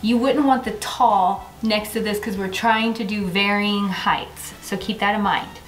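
A woman's voice: mid-range voice, measured pace, low-quality recording. A woman in her forties hosting a chatty home decor vlog, talking through a table setting in her dining room with a camera across the table. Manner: chatty, bubbly, self-teasing. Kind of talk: casual how-to vlog.

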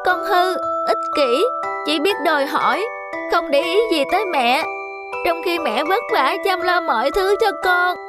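An actor voicing a young schoolboy in a cartoon dub, scheming and petulant, with expressive delivery. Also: extremely high pitch, a little slow. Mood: remorseful. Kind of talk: cartoon dubbing. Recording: music behind the voice.

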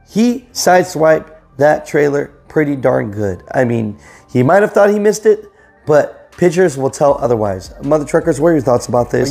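A man's voice: fairly high pitch, creaky, mellow. A man in his thirties hosting a trucking news show, talking casually to camera from his living room on a lapel mic, chatty and addressing viewers directly. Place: living room, seated on a couch. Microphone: clip-on lapel mic.

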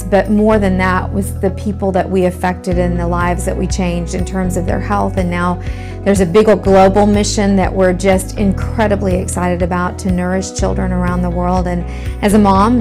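Steady-voiced woman giving a testimonial. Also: on the low side. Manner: earnest, steady. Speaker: testimonial speaker. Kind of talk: scripted testimonial to camera. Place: studio backdrop.

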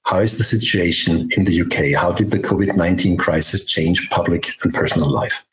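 A man in his sixties hosting an interview on a video call through a headset, reading a clear, deliberate introduction and asking questions. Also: sits low, roomy sound, slight background noise, poor audio. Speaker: interviewer and host.

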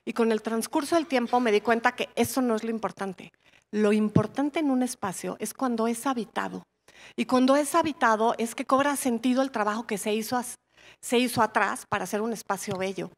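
A woman's voice: on the high side, brisk. A woman, an interior designer speaking earnestly and reflectively on a conference panel. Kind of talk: panel talk.

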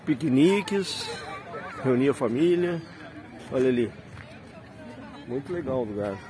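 A man's voice: medium pitch, animated, unhurried, a crowd in the background, noisy background. A man, chatting casually to viewers in a vlog and pointing things out as he goes. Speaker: rideshare driver and travel vlogger. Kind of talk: casual walking-tour vlog.

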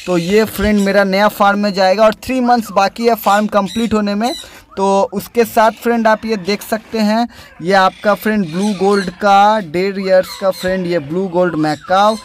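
A man's voice: high voice, fairly even intonation, normal speed, slight background noise. A young man narrating a casual vlog voice-over, chatty and calling the listener "friend" again and again. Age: young adult. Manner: chatty, repeats "friend" often. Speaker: bird breeder vlogger. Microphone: dubbed voice-over after filming, no mic on site.

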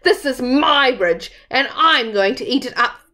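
A big, hungry brute of a storybook troll, played by a woman. He roars and growls his threats in a slow, swinging voice. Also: high voice, animated, sharp tone, voice pushed up, exaggerated voice. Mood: menacing, hungry. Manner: roaring, growling. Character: big ugly hungry bullying male troll.

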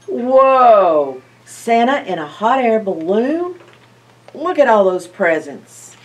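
An older woman reads a picture book aloud to young children as a storyteller, expressively and with questions to her listeners. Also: medium pitch. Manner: expressive, asks listeners questions, animated reactions. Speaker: library storyteller. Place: indoor library, seated by bookshelves. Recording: slightly distant microphone.

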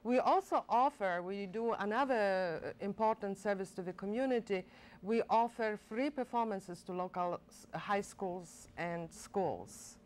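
Older lady on a tv interview, chatty and excited, lots of uhs and restarts, studio lapel mic. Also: medium pitch, measured pace. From Poland. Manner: enthusiastic, animated, many fillers and restarts. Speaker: director of a college performing arts center.

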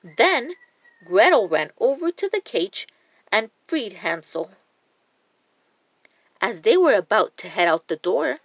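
A woman narrating a children's storybook in a deliberate, expressive reading style. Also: on the high side, dry recording.

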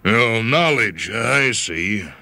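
A man's voice: on the low side, lively intonation, very slow, creaky, bright, forceful tone, pitched above the performer's own voice, exaggerated voice. Corporate chief for audio fiction , played by a male reader: genial, hesitant, wistful, raised tense voice. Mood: genial, uneasy. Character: wistful, patronizing powerful male corporate executive. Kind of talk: character voice in a story reading.

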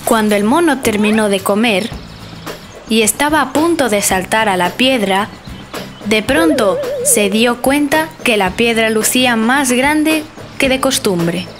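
A woman storyteller reading a children's cartoon tale. Her voice sits slightly high and stays gentle and clear. Cheerful music plays beneath her. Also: expressive, a little slow. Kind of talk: scripted bedtime-story narration for children. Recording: narration over music.

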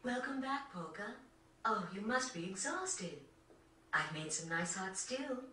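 A woman's voice: medium pitch, very animated, measured pace, pushed and bright, a little hiss or hum. A woman playing a caring mother in a video game, speaking in a tender and reassuring manner. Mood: welcoming. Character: caring, soothing mother of a sick girl. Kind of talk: English video game cutscene acting. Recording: distant, echoey TV game capture.

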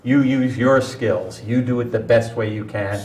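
A man's voice: medium pitch, fairly even intonation, unhurried, darkish tone. An older man talking as a guest in a studio panel discussion. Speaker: interview guest. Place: small TV studio, round table. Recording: roomy, distant mic, faint noise.

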